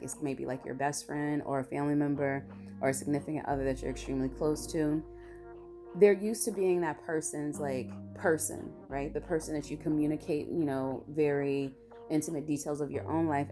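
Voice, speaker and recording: Raspy low-voiced woman vlogging. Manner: rambling, blunt, emphatic with asides. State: tired. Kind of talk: casual opinion monologue.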